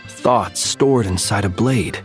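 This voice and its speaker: A man playing a thoughtful, loyal knight in a video game monologue, reflective and deliberate. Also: lowish voice, soft background music. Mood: pensive.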